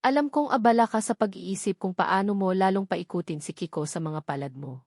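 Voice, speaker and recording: A woman voicing a cold, emotionless female right-hand aide with an icy, cutting, deliberate manner in a narrated love story. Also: mid-range voice, a little mellow, no echo, studio quality, close to an everyday voice. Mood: cold, menacing.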